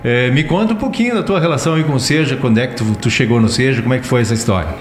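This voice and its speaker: A man's voice: medium pitch, very fast. A man with a Brazilian accent hosting a casual interview, informal and encouraging with the guests. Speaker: interview host. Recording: roomy echo, music behind.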